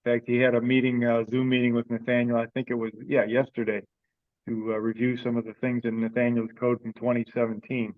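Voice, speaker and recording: A man leads an online meeting in a plain, conversational voice at a middle pitch. He talks informally and haltingly, thinking aloud as he goes. The sound is a slightly dull video-call recording with a dry, close room. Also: completely flat delivery, dry recording. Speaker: online meeting presenter for an amateur radio group. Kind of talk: informal meeting update, unscripted.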